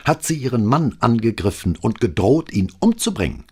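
A man's voice: mid-range voice, very animated, normal speed, slightly rough, voice pushed up. A man voicing a detached male police lieutenant who speaks casually.

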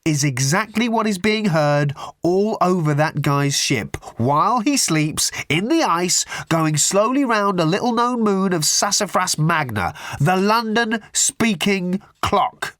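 A man voicing a manic, excitable male galactic hitchhiker who rants loudly and emphatically in an audiobook. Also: on the high side, normal speed, dry recording, clean studio sound, voice pushed up. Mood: excited. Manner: loud, emphatic, indignant.

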